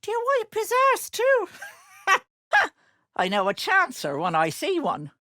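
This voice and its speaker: Old hag, game villain, sugary and menacing, animated swooping pitch, unhurried, dry close sound. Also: female voice, dry recording, full character voice. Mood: mocking. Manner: sugary endearments with sly, mocking menace. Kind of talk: video game character acting.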